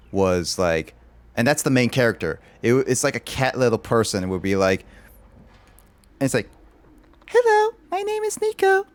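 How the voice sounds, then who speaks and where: A man's voice: lowish voice, clear, resonant tone. A man chatting casually on a livestream, rambling and filling his talk with "like". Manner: rambling, loaded with "like" fillers.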